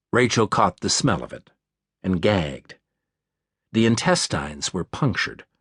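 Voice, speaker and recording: A man's voice: medium pitch, husky, dry recording. A man reading a thriller audiobook as scripted narration, close on a studio microphone. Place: dry studio.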